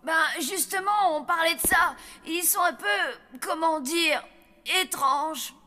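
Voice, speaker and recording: An actress playing a doubtful young zombie child in a French children's cartoon, with a hesitant, skeptical manner. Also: female voice, extremely high pitch, measured pace, sharp tone, big-room sound, exaggerated voice. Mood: uneasy doubt.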